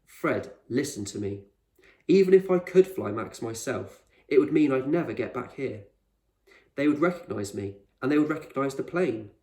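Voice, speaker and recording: A man voicing an eccentric, proud male explorer in a storybook, speaking with measured authority and reassurance. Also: medium pitch, expressive, warm tone, pitched above the performer's own voice, lightly acted. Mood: firm, earnest. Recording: roomy echo, distant microphone.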